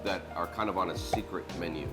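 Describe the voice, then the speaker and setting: A man in his sixties from Montana with an American accent, a chef explaining beef aging in a relaxed, halting way. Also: low voice. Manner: relaxed, repeats words as he explains. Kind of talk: casual interview. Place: commercial kitchen butchery room, indoors. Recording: music bed under the voice. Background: background music.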